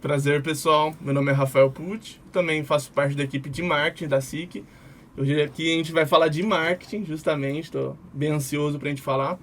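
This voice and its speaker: A man's voice: on the high side, slight background noise. A man co-hosting a podcast, upbeat and eager, using casual slang as he welcomes guests. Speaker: podcast co-host, marketing analyst.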